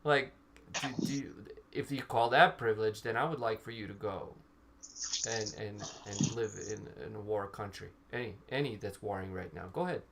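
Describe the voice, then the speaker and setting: A man's voice: fairly low pitch, moderate pace, vocal fry, a bit bright. A man, co-hosting a casual chat on a video call with a headset and often restarting his sentences. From Serbia. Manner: talkative, stops and restarts often. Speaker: co-host. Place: small room indoors. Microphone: over-ear headset on a video call. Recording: noisy video call audio.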